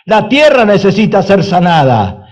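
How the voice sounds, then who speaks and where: A man's voice: high-pitched, big pitch swings, normal speed, a little mellow, dull audio. A man preaching a sermon as pastor, speaking emphatically with repeated phrases and rhetorical questions.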